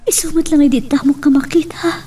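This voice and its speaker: Woman acting emotional ilocano mom in a radio drama, high voice, bit far from mic. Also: steady tone, brisk, warm tone, close to an everyday voice. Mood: tearful, brooding. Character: earnest, emotional mother with a long-kept secret.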